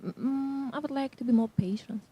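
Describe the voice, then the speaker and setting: A woman from Armenia introducing herself at a workshop, soft and thoughtful. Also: high-pitched, normal speed, breathy edge, low-quality recording. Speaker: workshop participant.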